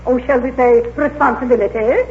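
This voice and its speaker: A woman's voice: on the high side, fairly quick, a little hiss or hum, close to an everyday voice. An actress playing a haughty, fluttery middle-aged society lady with affected, genteel, hesitant speech in a 1940s radio comedy. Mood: politely flustered, condescending. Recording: dull, echoey, humming 1940s radio recording.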